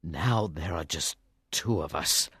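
A woman's voice: very deep voice, sing-song, slow pace, dry recording, clean studio sound, voice pushed down, exaggerated voice. A woman voicing a wounded, dying king in a storybook, weary but commanding, with weak, labored speech. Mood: sad, weak. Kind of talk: storybook character voice.

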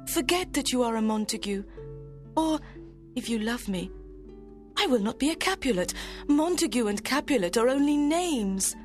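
Juliet, a woman doing an earnest, lovestruck young girl, high and pleading, music under. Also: animated, normal speed, a little mellow, close to an everyday voice. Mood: yearning. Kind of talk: audiobook drama reading.

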